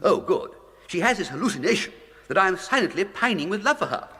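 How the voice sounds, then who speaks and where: An actor playing a flippant, idle young English gentleman with a breezy, plummy, upper-class accent and exclamatory period slang, in a British radio comedy. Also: high-pitched, big pitch swings, quick pace, heavily acted. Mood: exasperated. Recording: long echoing room reverb.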